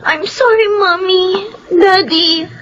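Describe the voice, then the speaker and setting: A woman's voice: very high voice, slow pace, a bit bright, lo-fi sound, exaggerated voice. A woman playing a whiny, pleading teenage schoolgirl in a Filipino radio drama, with a Filipino accent. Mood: tearful pleading. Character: tearful, pleading, sickly teenage schoolgirl, youngest daughter.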